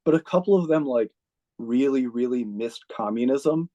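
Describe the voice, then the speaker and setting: An American man, a retired army officer, telling stories as an interview guest in a chatty, laid-back way, full of "like" and quick laughs. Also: medium pitch, warm tone, highs cut off. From United States. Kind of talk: casual storytelling.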